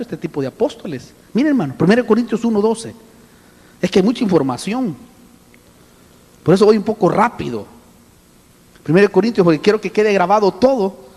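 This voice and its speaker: A man preaching a sermon with an animated manner, often calling out to his listeners as brother. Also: high voice, long reverb tail. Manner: animated, addresses listeners as brother, acts out voices. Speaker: apostle preaching.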